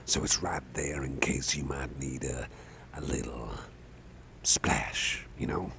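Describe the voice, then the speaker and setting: Man acting very deep, gravelly, caring boss. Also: steady tone, slow, slight background noise, exaggerated voice. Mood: caring, attentive. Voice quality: deep gravelly murmur, often breathy. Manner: gentle, reassuring, rambling with soft hesitations. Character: big, gruff, caring male boss, protective and friendly. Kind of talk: ASMR personal-attention roleplay.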